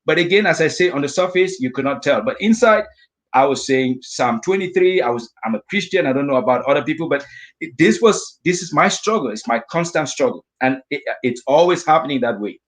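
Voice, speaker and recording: Man from africa, middle-aged, storytelling, lively asides, slightly high voice. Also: brisk. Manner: animated storyteller, voices his inner thoughts in asides. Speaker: guest speaker sharing a personal story. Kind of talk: storytelling talk.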